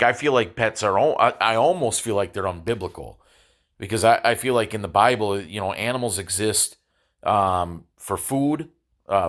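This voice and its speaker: Congested nasal man, halting speech. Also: fairly low pitch, a bit bright. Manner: halting, repeats words while thinking. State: congested with a cold. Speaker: podcast host. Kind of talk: solo religious podcast monologue. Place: his apartment.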